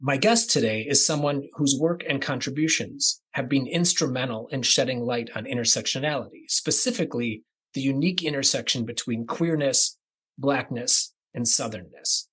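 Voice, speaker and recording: A man from the American Midwest hosting a podcast, reading a scripted introduction in a measured, deliberate cadence that moves through lists. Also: mid-range voice, fairly bright tone, slightly rough. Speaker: podcast host.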